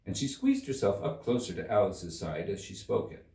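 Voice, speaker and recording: A man narrates a storybook in a fairly low voice with very animated intonation. The scripted reading is expressive, and it sounds roomy and echoey, set back from the microphone. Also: moderate pace. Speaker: audiobook narrator. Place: room inside a museum. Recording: roomy, echoey, distant from the mic.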